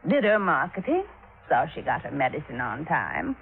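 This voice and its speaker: Gushing older widow, swooping voice. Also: female voice, sits low, huge pitch swings, moderate pace, creaky, slight background noise, exaggerated voice. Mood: chatty, aggrieved. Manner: gushing, coy, theatrical. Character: gushing, chatty older widow, cat-doting housekeeper. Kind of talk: 1950s radio drama acting. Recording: tinny old radio recording.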